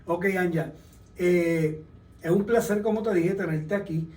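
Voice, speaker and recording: High-voiced male show host, roomy. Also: a little slow, a little mellow. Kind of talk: interview hosting. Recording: roomy, distant mic.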